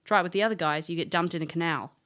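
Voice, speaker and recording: Woman acting a low, blunt elf ex-thief. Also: racing, fairly bright tone, natural voice. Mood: stern, matter-of-fact. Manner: dry, blunt, matter-of-fact. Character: world-weary female elf ex-thief, blunt and guilt-ridden.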